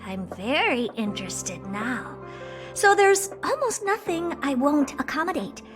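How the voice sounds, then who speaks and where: A haughty female genius from a video game, very high in pitch and lively in intonation. She is blunt, dismissive and thoroughly pleased with her own brilliance. Music plays clearly behind her. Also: measured pace. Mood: intrigued, self-satisfied. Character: haughty, blunt, self-important female genius, owner of a space station. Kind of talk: English video game dub acting.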